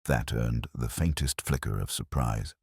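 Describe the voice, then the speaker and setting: A man reading a scripted first-person detective story in a measured, reflective, literary manner. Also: very deep voice, vocal fry, darkish tone, breathy edge, no room sound, clean studio sound. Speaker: narrator.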